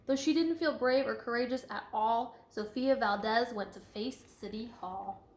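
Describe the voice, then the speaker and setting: A woman reading a children's picture book aloud with expressive emphasis and chatty asides to listeners. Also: on the high side, normal speed. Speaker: storybook reader. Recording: echoey room.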